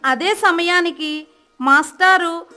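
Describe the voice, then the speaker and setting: A woman's voice: extremely high pitch, takes it slowly, bright, forceful tone, soft background music. A woman narrating a scripted children's moral story as a storyteller.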